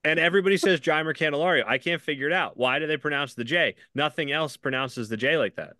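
A man co-hosting a podcast who talks quickly in a bright, forceful voice. He rambles through anecdotes and laughs often, recorded dry on a close mic. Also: on the high side, no echo. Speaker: podcast co-host. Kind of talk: casual podcast chat.

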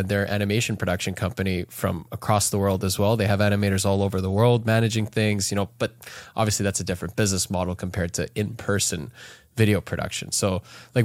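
A man co-hosting a podcast over a video call, chatty and quick to laugh, with many restarts. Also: on the low side, no echo, studio quality. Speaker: podcast co-host. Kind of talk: casual video call chat.

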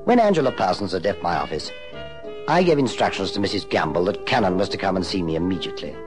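Suave British detective boss, lively low voice. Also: male voice, over music. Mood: storytelling. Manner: urbane, polite, measured. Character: suave, courteous male private investigator and agency boss. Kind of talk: radio drama dialogue and narration.

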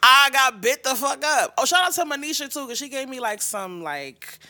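A woman's voice: high-pitched, normal speed, dry recording. A woman co-hosting a talk show, chatting casually and slangily with plenty of swearing. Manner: animated, slangy, heavy swearing. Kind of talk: casual unscripted chat.

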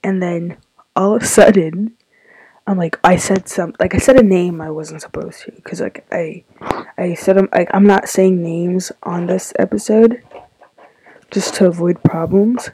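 A teenage girl hosting her own podcast, sounding stuffy and tired, rambling with lots of fillers in a soft, confiding way. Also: fairly low pitch, fairly even intonation, measured pace, slightly rough, dry recording, rough recording. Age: teens. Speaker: podcast host. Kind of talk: casual solo podcast talk.

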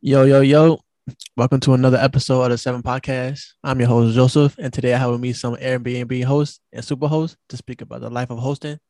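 A man around thirty hosts a podcast in an easygoing, slangy way, dropping casual fillers as he goes. His voice sits in an ordinary middle pitch. The sound is dry and close, with no room around it. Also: flat delivery, fairly quick, warm tone, no room sound. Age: 30s. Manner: laid-back, slangy, lots of fillers. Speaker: podcast host.

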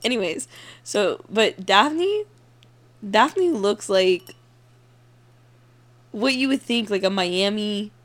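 A young woman with a deep voice, co-hosting a casual chat, swearing and laughing a lot. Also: animated, slow, long pauses. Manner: giggly, swearing, keeps laughing mid-sentence. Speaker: podcast co-host.

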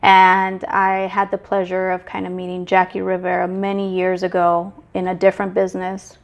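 A woman's voice: lowish voice, normal speed, vocal fry, dull audio. Chatty middle-aged woman guest. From Mexico. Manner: relaxed, chatty, with many fillers and restarts. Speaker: guest, business manager. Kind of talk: casual interview chat.

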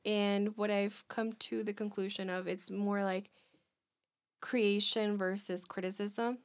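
A woman in her twenties in casual chat on a podcast, talking in a rambling, halting way with many restarts. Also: mid-range voice, level tone, no echo. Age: 20s.

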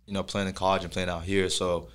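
A man's voice: low-pitched, little pitch movement, fast talker, a bit bright, clear, resonant tone. A young man from Nigeria hosting a casual interview, easygoing and conversational. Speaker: interviewer, host.

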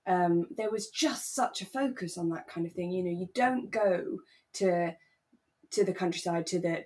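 A woman's voice: on the low side, darkish tone. A British woman chatting informally as the co-host of a podcast. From England. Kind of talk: relaxed chat discussing a radio drama.

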